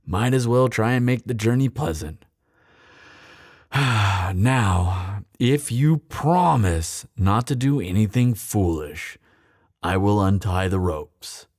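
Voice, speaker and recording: A man acting a bitter, mocking thief hardened by grief in a fantasy audio roleplay, with sardonic, theatrical delivery and deliberate pauses. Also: on the low side, animated, darkish tone, dry recording, clean studio sound. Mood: mocking, controlled. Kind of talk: dramatic fantasy audio roleplay, addressed to listener.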